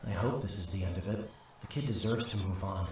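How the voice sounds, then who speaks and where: A man acting the part of a steady, protective older brother in an audio drama, speaking in a measured, reassuring way. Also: low-pitched, slight background noise, natural voice. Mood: guarded.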